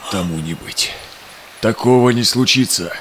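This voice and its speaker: An actor playing an honest, principled Cossack in an audio drama, speaking earnest theatrical verse. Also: male voice, lowish voice, unhurried, a bit bright, a little hiss or hum. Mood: indignant, resolute. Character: honest, principled Cossack serviceman defending villagers.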